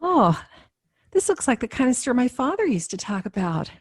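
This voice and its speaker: A woman's voice: a little high, big pitch swings, normal speed, breathy edge. An actress playing a sensible, anxious but polite family mother in a radio sitcom. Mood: concerned.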